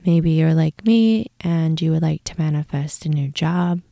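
Slow, very mellow woman guiding meditation. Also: sits low, creaky, dry recording, studio quality. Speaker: guided meditation host. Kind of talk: guided meditation, soothing instruction.

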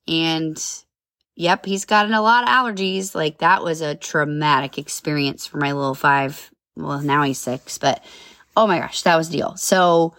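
This is a woman in her thirties chatting on her own podcast, upbeat and full of side notes. Her voice sits low, and a cold leaves it a little nasal and congested. The sound is dry and close, with no room around it. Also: fairly bright tone, no room sound. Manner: chatty, upbeat, rambling with asides. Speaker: podcast host. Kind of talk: casual solo podcast chat.

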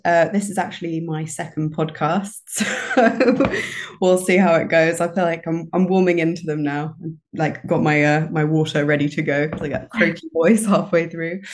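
A woman's voice: low-pitched, darkish tone, slight background noise. A woman, a podcast guest talking casually with hesitant ums and a self-deprecating manner. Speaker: podcast guest, operations manager. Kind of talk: casual interview chat.

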